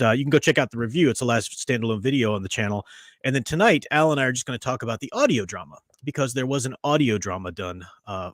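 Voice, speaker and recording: Man, tired, casual livestream host, rambling, filler-heavy, mid-pitched, dry close sound. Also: no room sound. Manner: rambling, chatty, with many fillers and restarts. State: tired after a long day. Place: at home.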